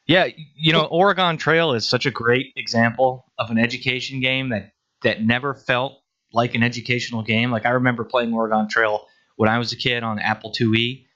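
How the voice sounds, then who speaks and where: A man's voice: mid-range voice, fairly even intonation, brisk, bright, forceful tone. A man co-hosting a podcast, chatting in an enthusiastic, playful way. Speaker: podcast co-host. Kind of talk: casual podcast chat. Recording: slightly dull lo-fi audio.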